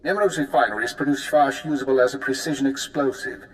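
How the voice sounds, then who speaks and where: An actor playing a composed intelligence handler giving a mission briefing in a video game, formal and clipped. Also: male voice, mid-range voice, fast talker, slight background noise, close to an everyday voice, poor audio. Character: cool, precise male intelligence handler.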